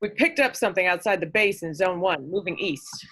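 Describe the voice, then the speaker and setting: A woman playing a calm, brisk medic and officer in an amateur science fiction table read. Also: fairly low pitch. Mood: businesslike.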